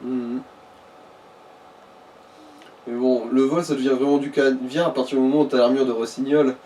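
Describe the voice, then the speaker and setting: A man's voice: medium pitch, steady tone, normal speed, mellow. A man chatting casually as a companion commenting on a video game. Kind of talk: casual chat. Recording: distant from mic, background noise.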